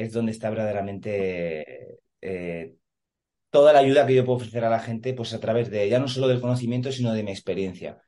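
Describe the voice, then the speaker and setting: A man in his forties from Madrid talks earnestly on a video call, stumbling through fillers and restarting his words. His voice sits at a mid pitch for a man. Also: warm tone. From Madrid, Spain. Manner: hesitant, many fillers and repeated words, earnest. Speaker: podcast guest, police officer and emotional coach. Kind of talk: casual conversational interview.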